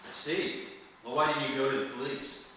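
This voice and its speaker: A man's voice: medium pitch, fairly even intonation, normal speed, pushed and bright, lightly acted. Man playing a wry noir detective in an echoey hall. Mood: wry, businesslike. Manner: wry, flirtatious, world-weary patter. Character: wry, smooth-talking hardboiled male noir private detective. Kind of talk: 1940s detective radio serial acting, noir narration. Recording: roomy distant hall recording, faint noise.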